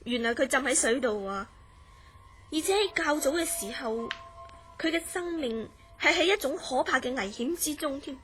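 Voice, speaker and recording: An actress with a Hong Kong Cantonese accent playing an earnest woman who recounts a friend's eerie dreams in a radio drama, in measured, serious storytelling. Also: sits high, lively intonation, fairly bright tone, soft background music.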